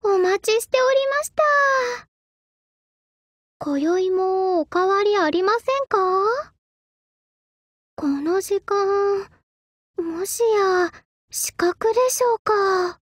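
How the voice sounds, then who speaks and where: A woman voicing a polite, patient young sword-spirit maiden in a Japanese mobile game, with soft, formal and devoted delivery. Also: very high voice, takes it slowly, pauses often, no echo, clean studio sound. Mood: gentle, welcoming.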